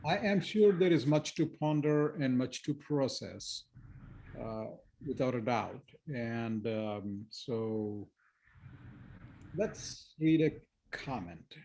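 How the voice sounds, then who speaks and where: A man's voice: mid-range voice, expressive, slow pace, a little mellow, slight background noise. A man moderating a webinar Q&A, introducing audience comments with frequent uhs. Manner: hesitant, with many uhs between phrases. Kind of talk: reading audience comments aloud.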